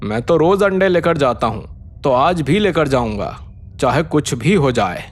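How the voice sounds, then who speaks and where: A man's voice: fairly high pitch, big pitch swings, unhurried. A man voicing a greedy, insistent village merchant in a cartoon, talking in a pushy, familiar way.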